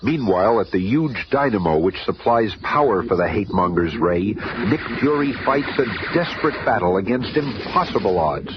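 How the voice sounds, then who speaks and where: A man's voice: fairly low pitch, big pitch swings, normal speed, hardly any pauses. A man narrating a 1970s radio drama in a dramatic, announcer-like manner, on a dull hissy recording.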